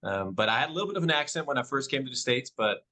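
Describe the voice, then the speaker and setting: A Dutch-born man who speaks English with no accent as his second language. He is a padel club owner answering podcast questions in a measured, soft-spoken way, with frequent ums. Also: mid-range voice, lively intonation. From Netherlands.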